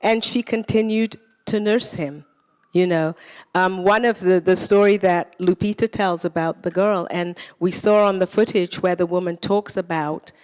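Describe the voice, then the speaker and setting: A woman's voice: on the low side, fairly even intonation, moderate pace, darkish tone, smooth. Earnest woman in a reverberant theater. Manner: earnest, searching for words. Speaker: cast member in a post-show talkback. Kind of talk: post-show panel talk. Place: theater stage.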